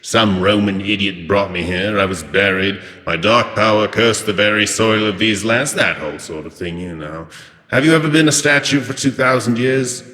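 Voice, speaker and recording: Deep, booming ancient god of chaos. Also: male voice, normal speed, sharp tone. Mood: aggrieved, wry. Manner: theatrical, grandly sardonic, grumbling. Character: ancient trapped Egyptian chaos god, petulant and wry. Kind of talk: audio drama acting. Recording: long cavernous reverb on the voice.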